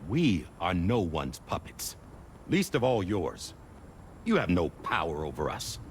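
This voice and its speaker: A man's voice: low voice, huge pitch swings, a little slow, slight background noise, exaggerated voice. A man playing a defiant, menacing villain in a video game, taunting his foe with deliberation. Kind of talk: cinematic video game acting.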